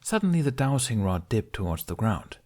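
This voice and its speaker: A man reading a fan fiction aloud with expressive, measured narration. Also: fairly low pitch. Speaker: podfic reader. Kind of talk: storybook narration.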